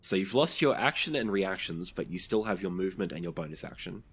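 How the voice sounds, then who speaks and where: Low voice game master narrating a tabletop rpg, talking players through their turns. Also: animated, brisk, a bit bright, breathy edge. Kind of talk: running and narrating a tabletop role-playing game.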